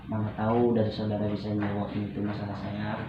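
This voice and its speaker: A man's voice: lowish voice, completely flat delivery, quick pace. A young man with a Medan accent from Indonesia, speaking hesitantly as he talks over personal problems in a counselling conversation. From Medan, North Sumatra, Indonesia. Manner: hesitant, repeats phrases. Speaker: client in a practice counselling session. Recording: very echoey, muffled, faint background noise.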